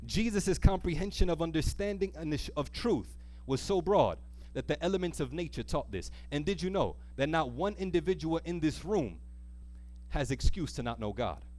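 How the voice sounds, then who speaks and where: Male preacher, fairly high voice. Impassioned, repetitive, stretches key words, questions the congregation. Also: expressive, darkish tone. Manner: emphatic, repetitive, drawn-out stress on key words. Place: church, live before a congregation.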